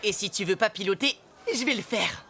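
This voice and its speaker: A woman's voice: mid-range voice, brisk, lightly acted. A woman voicing a cocky, hot-headed young boy hero in a French children's cartoon. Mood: cocky, encouraging. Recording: loud music under the voice.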